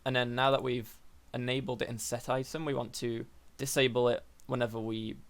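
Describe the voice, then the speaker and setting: A young man with a slightly croaky voice, hosting a casual coding tutorial and thinking aloud with fillers and self-corrections. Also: mid-range voice, level tone, a little fast. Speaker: programming tutorial host.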